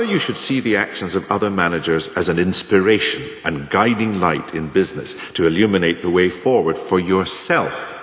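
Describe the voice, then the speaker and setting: An actor playing a scheming, pompous senior manager, delivering a deliberate, oratorical radio play monologue. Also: male voice, sits low, fairly bright tone. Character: scheming pompous senior manager lecturing on ruthless career advancement. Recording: echoey hall with long reverb.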